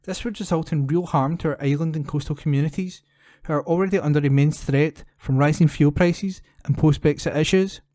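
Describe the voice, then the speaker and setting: A man's voice: fairly high pitch, steady tone, a little fast, mellow, no room sound, clean studio sound. A man reading newspaper letters aloud as a volunteer for a talking newspaper, recorded at home. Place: at home, indoors.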